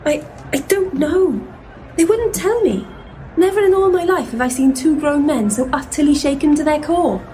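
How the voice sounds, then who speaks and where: An actress playing an earnest, loyal assistant to ghost hunters in a comic audio play. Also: female voice, high voice, moderate pace, mellow, a little hiss or hum, natural voice, poor audio. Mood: shaken. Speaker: actor in an audio comedy drama.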